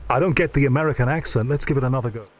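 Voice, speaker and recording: A man's voice: medium pitch, very fast, heavy background noise. A man hosting a radio show, asking questions with curiosity and thinking aloud as he listens. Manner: inquisitive, enthusiastic, thinks aloud. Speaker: radio host. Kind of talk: curious interview chat.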